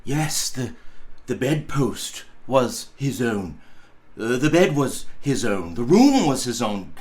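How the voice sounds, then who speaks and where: A man, a pastor, reading a storybook aloud in an expressive, theatrical and emphatic way. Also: medium pitch, slow.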